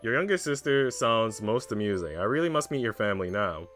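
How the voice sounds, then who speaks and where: A man's voice: fairly low pitch, animated, a little fast, fairly bright tone, soft background music. A man reading the part of a generous young duchess in a visual novel, playful, teasing and a little grand. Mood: fond, amused. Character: teasing, generous young duchess, a woman wooing her lover.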